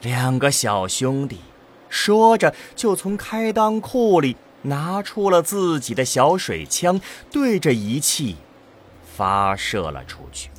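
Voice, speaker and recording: A man narrating a scripted science fiction audio drama in a dramatic storytelling manner. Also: medium pitch.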